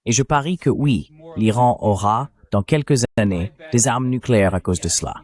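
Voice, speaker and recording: A man's voice: on the low side, normal speed, a little mellow, lightly acted. A man voicing a French translation of a political analyst's explanatory interview commentary.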